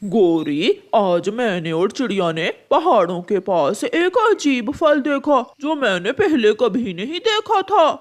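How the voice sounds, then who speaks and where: Woman doing a sneaky, slow-talking cartoon crow. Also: fairly high pitch, sing-song. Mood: scheming.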